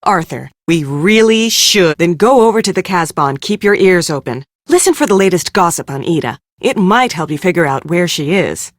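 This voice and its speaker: An actress playing a brisk, sharp-tongued, exasperated woman on a film crew in an adventure game, speaking with sarcastic emphasis. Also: fairly low pitch, expressive, no echo, studio quality, lightly acted. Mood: suspicious. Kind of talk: scripted game character dialogue.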